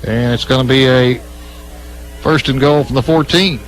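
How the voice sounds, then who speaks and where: A man's voice: mid-range voice, fairly even intonation, normal speed, slight background noise, rough recording. A man giving live radio play-by-play commentary of a football game as the announcer.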